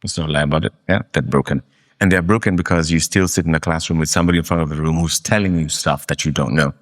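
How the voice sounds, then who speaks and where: Deep-voiced Kenyan man, emphatic. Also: fast talker. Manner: animated, emphatic storytelling. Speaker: guest. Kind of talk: fireside interview. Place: live meetup venue.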